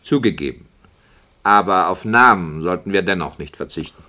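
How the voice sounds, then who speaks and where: A man's voice: sits low, expressive, moderate pace, sharp tone. A man playing a skeptical radio play director, formal, critical and precise, in scripted 1960s radio dialogue. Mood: dry, conceding.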